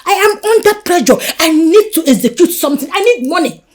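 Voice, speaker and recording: A woman's voice: medium pitch, big pitch swings, moderate pace, a little mellow, husky. An actress with a Nigerian accent playing a desperate scheming woman who demands money, mixing pidgin and swinging from whispers to outbursts. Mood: pressured. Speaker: actor. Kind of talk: Nollywood drama acting.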